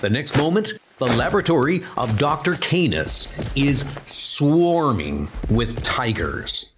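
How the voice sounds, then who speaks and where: A man's voice: mid-range voice, sing-song, takes it slowly, background noise. A man reading dramatic scripted comic-book narration. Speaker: narrator and character reader. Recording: music under the voice.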